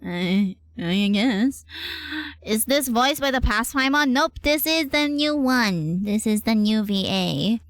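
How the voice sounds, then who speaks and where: A woman's voice: a little high, expressive, slow pace, no room sound. A woman game streamer giving casual, chatty live commentary, playfully reacting aloud as she goes.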